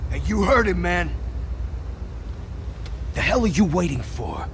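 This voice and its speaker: An aggressive street mugger in a video game. He sounds coarse and impatient, drawing out his threats at a slow pace in a high voice, with clear noise behind him. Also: big pitch swings, heavily acted. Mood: threatening. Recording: loud background noise.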